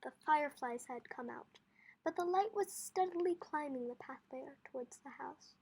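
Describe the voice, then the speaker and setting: Amateur female audiobook reader, high voice, careful storybook narration with small stumbles. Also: normal speed, darkish tone. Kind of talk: storybook narration read aloud.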